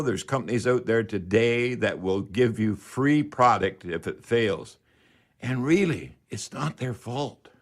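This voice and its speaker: A man hosting a radio call-in show, giving unscripted, instructive how-to advice and repeating key phrases for emphasis. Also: fairly low pitch.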